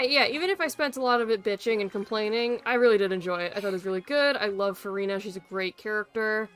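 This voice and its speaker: A woman's voice: a little high, pushed and bright, clean tone. A woman live streaming a video game with casual, blunt, sweary, informal commentary.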